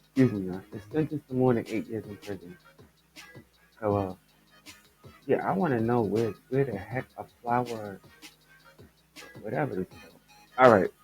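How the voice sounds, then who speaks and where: A man hosting his own podcast, his voice raspy and stuffed up, chatting loosely and rambling as he talks straight to his listeners about the news. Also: fairly low pitch, rough recording. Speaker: podcast host. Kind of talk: casual commentary on news stories.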